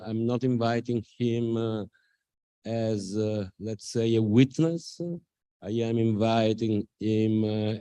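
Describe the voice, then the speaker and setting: Man, moderator, very slow pace, smooth resonant tone, dry sound, hesitant with fillers. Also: lowish voice, mellow, no echo. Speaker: event moderator. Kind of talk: introducing a guest at a public talk.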